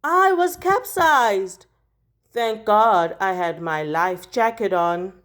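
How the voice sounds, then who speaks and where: A woman reading a children's picture book aloud like a teacher, expressively, with deliberate dramatic emphasis on key words. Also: mid-range voice, animated, a bit bright.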